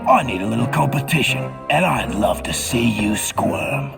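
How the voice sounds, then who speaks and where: An actor playing a swaggering, taunting combat racer in a video game, gravelly and gloating with rowdy colloquial talk. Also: male voice, mid-range voice, very animated, normal speed, music in the background.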